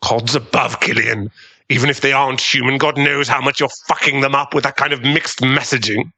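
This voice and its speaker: A man's voice: medium pitch, fairly even intonation, a little fast, sharp tone. A man playing a beaten, desperate, moralizing agent in an audio drama, strained, incredulous and pleading. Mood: disgusted.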